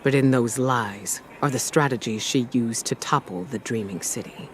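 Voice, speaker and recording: Stern commander played by a man, measured and authoritative. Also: medium pitch, slight background noise, natural voice. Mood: grave, determined. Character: stern, resolute male military commander. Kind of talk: scripted game cutscene monologue. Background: music.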